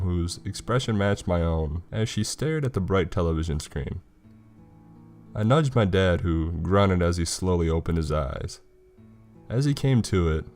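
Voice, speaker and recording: A man's voice: low voice, darkish tone. A man reading a scripted horror story as its narrator. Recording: music behind the voice. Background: background music.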